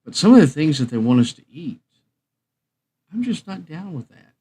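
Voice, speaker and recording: A man's voice: fairly high pitch, very animated, normal speed, dark tone, breathy edge. A man hosting a music livestream, chatting casually in a rambling, meandering way.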